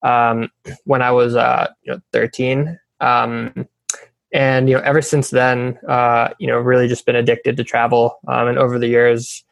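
A man from South Africa with an American accent, a podcast guest who talks hesitantly and fills pauses with "um" and "you know". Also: mid-range voice, level tone, creaky, no room sound. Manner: hesitant, full of fillers. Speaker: podcast guest, company founder. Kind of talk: casual interview.

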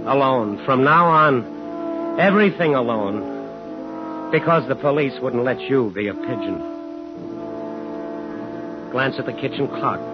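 An actor playing a defiant small-town man who stands up to a crime boss, clipped and deliberate, in 1950s radio thriller style. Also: mid-range voice, lively intonation. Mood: bleak, brooding. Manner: hard-boiled, clipped, deliberate. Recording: muffled 1950s radio broadcast, music behind.

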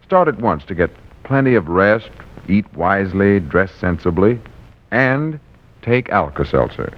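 A man working as a radio announcer, delivering a measured, friendly sponsor message in 1940s style. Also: low-pitched, expressive. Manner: friendly direct address, measured. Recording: muffled vintage radio recording.

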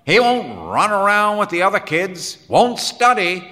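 A man voicing a gruff, hard-nosed, angry father in an audiobook, with blunt, folksy, forceful talk. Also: high-pitched, sing-song, measured pace, long reverb tail, pitched above the performer's own voice, exaggerated voice. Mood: wondering, grumbling. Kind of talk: audiobook character dialogue.

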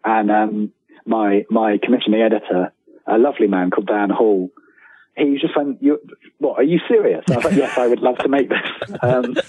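A man's voice: lowish voice, normal speed, breathy edge. A middle-aged British man chatting casually as an interview guest, self-deprecating, often repeating words as he tells anecdotes. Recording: thin phone-like line, roomy echo.

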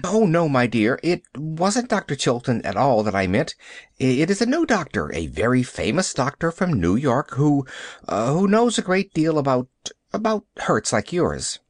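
A man's voice: medium pitch, sing-song, normal speed, no echo, studio quality. A man voicing a stern, anxious middle-aged aunt who speaks stiffly and hesitantly in a storybook reading. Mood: gentle.

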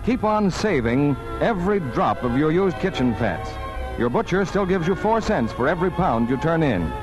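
A male announcer from forties radio reads scripted copy over music. His voice sits fairly high and moves with lively intonation. The delivery is crisp and persuasive. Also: moderate pace, poor audio.

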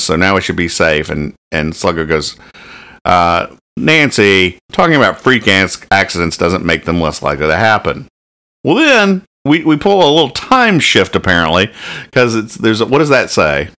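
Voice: sits low, sing-song, normal speed, sharp tone. A podcast host chatting casually and jokingly about comic strips, often restarting as thoughts form.

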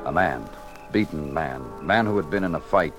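An actor narrating as a police lieutenant in a 1950s radio drama, terse and poetic in hard-boiled style. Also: male voice, extremely low pitch, animated, normal speed. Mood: grim. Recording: music behind.

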